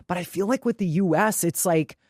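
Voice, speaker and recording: A woman's voice: lowish voice, fairly quick, a little mellow, no echo, studio quality. A woman co-hosting a podcast in casual sports chat, opinionated and emphatic, swearing freely. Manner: freely profane. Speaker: podcast co-host.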